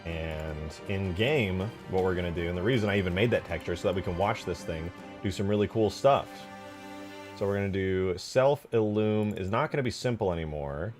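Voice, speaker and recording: Low-voiced man streaming casually. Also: a little fast. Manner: slangy, jokey, thinking aloud, bursts of excitement. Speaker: live streamer and game modder. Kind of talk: casual livestream chat and screen tutorial. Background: music.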